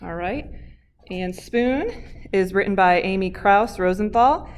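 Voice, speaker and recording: An American woman reading a picture book aloud to children in an expressive, deliberate storybook delivery. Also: mid-range voice, clear, resonant tone. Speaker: PE teacher and coach reading aloud.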